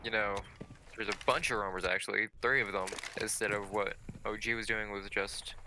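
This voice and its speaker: A man giving measured, explanatory analysis as a colour commentator on a live video game match. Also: lowish voice, fairly even intonation. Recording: clearly noisy signal with clicks.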